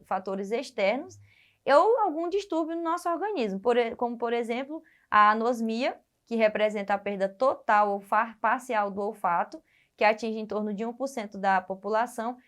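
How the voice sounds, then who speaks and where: A Brazilian woman with a fairly high voice teaches a biology lesson. She explains step by step, often pausing on fillers and restarting her phrases. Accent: Brazilian Portuguese. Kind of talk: recorded classroom lesson.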